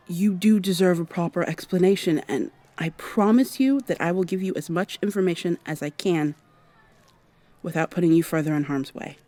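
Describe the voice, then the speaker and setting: A woman playing a brisk, awkward time traveler in an ASMR roleplay, talking to the listener in a rambling, wry way and thinking out loud. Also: fairly low pitch, lightly acted. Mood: urgent, earnest. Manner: rambling, hesitant, thinking out loud mid-sentence. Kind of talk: ASMR roleplay, acted one-sided dialogue to the listener.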